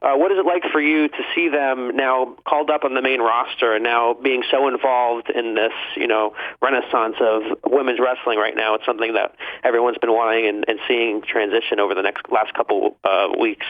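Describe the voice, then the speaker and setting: A man's voice: mid-range voice, flat delivery, normal speed. A man asking questions as a journalist on a press conference call by telephone. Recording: thin phone-line sound.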